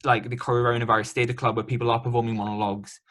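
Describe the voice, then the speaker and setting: A man's voice: mid-range voice, level tone, fast talker, a bit bright. A man interviewing a guest in a remote chat, talking chattily and loosely with many ums and likes.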